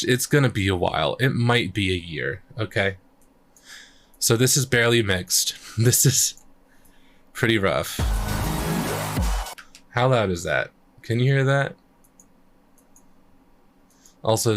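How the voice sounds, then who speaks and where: A man chatting casually on a live stream, thinking out loud as he works on his music, his voice a little croaky. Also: lowish voice, lively intonation, slow pace, long pauses. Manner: rambling, thinks aloud while working. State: slightly croaky voice. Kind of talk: casual livestream chat.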